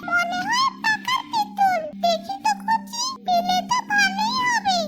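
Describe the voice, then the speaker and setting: An extremely high, childlike village girl in a cartoon tale. She is innocent and exclaims wide-eyed at everything, over prominent music. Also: measured pace. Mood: curious, delighted. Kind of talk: children's cartoon story acting. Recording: loud music behind the voice.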